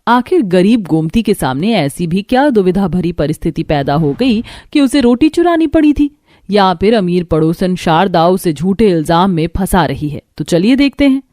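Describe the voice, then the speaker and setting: A woman's voice: on the low side, big pitch swings, moderate pace, warm tone, no room sound, clean studio sound. A woman narrating a cartoon story in a dramatic, scripted storytelling style.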